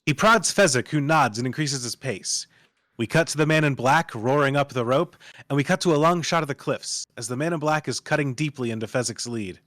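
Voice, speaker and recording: A man's voice: medium pitch, brisk, fairly bright tone, dry recording. Man narrating a script, dry sound. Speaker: narrator reading stage directions. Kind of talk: scripted dramatic narration at a live table read.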